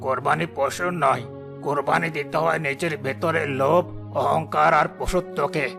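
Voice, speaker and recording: A man voicing a wealthy, humbled man in a cartoon moral drama, solemn, emphatic and confessional, with a gravelly voice. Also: fairly high pitch, steady tone, moderate pace, music in the background. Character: wealthy proud man, now humbled and remorseful.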